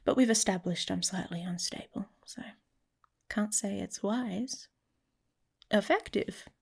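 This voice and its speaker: A woman playing a guarded, teasing young female werewolf in an intimate ASMR roleplay, with a wry, casual manner and a breathy, husky voice that often drops to a whisper. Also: medium pitch, measured pace, a little mellow. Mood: mischievous, scheming.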